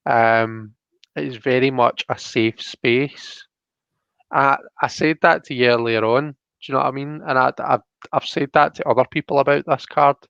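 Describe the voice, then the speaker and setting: Scottish male tarot host, crackly fry in the voice, conversational and reflective, dry muffled stream audio. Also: mid-range voice, fairly even intonation, moderate pace, a bit bright, dry recording. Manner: thoughtful, often checks with "you know" and "do you know what I mean". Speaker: host and tarot card reader. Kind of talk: casual, conversational tarot reading.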